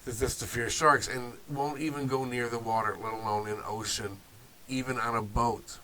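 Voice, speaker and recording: A man's voice: medium pitch, steady tone, normal speed, bright, forceful tone, slight background noise, rough recording. A man hosting a video talk series, sounding nasal from a cold, loose and offhand with asides. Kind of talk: informal talk to camera.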